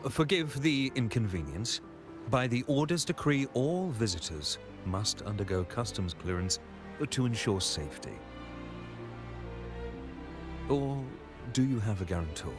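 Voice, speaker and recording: A man's voice: medium pitch, very animated, moderate pace, lightly acted. A man playing a devout, solemn guard of a religious order in a video game, speaking formally and piously. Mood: official. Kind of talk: scripted video game voice acting. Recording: game music behind the voice.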